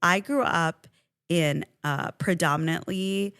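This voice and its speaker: A woman's voice: on the low side, takes it slowly, a bit bright, smooth, dry recording, studio quality. A woman podcast co-host who grew up speaking Farsi, chatting casually and animatedly with her partner and laughing often. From Iran. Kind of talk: casual couple chat.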